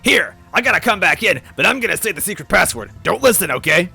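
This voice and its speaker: Gruff, loud, dopey bearded oaf. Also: male voice, high voice, quick pace, soft background music, pitched above the performer's own voice, full character voice. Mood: cheerful, energetic. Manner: loud, excitable, cheerily earnest. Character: gruff, dopey, bearded stout man, manic childlike party lover.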